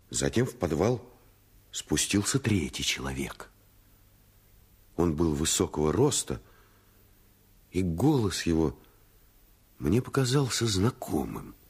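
An actor playing a storyteller who narrates a boyhood adventure in a suspenseful, scripted radio drama style. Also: male voice, deep voice, breathy edge, exaggerated voice.

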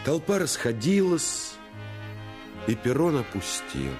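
A man narrating a Russian radio play as someone recalling his boyhood, in a measured, solemn and wistful manner. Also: medium pitch, huge pitch swings. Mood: solemn, nostalgic. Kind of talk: scripted radio drama narration. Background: music.

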